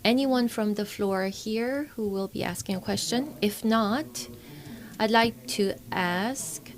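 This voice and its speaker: Woman interpreting a forum, halting. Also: medium pitch. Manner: halting, with frequent pauses and restarts. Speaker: simultaneous interpreter.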